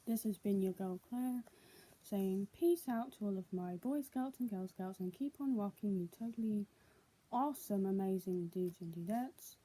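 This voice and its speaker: A woman's voice: mid-range voice, normal speed, mellow, breathy edge, poor audio. A young adult woman, speaking as a vlogger in a casual, homemade style. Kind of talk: casual vlog, reading news aloud and chatting.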